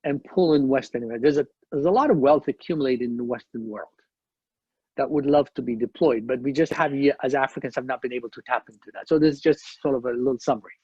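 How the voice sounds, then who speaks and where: Man from Ethiopia, husky voice, measured and explanatory, panel discussion, dull dry video call sound. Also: mid-range voice, darkish tone, dry recording. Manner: measured, explanatory, with frequent restarts. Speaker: conference panelist.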